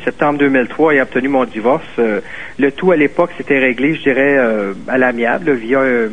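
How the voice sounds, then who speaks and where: A man's voice: medium pitch, brisk. A man calling in to a radio talk show, hesitant, with many fillers and restarts. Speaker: caller. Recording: tinny phone line.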